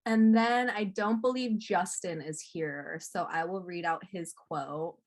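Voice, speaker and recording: A woman's voice: fairly low pitch, measured pace. A young woman, a student presenter and host, introducing speakers and reading prepared remarks aloud. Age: young adult.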